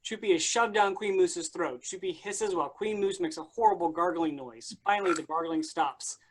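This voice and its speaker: A woman's voice: fairly low pitch, moderate pace, slight background noise, natural voice. A woman giving scripted narration, reading out stage directions for a play.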